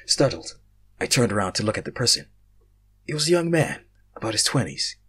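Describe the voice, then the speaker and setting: A young man reading fan fiction aloud, casual in his chat and theatrical in his narration, swinging between hushed and loud. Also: mid-range voice, big pitch swings, a little fast, slightly rough. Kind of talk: casual intro and dramatic story narration.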